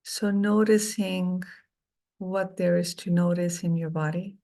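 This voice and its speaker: A middle-aged woman leading a guided meditation, speaking softly and soothingly with long pauses between phrases. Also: lowish voice, fairly even intonation.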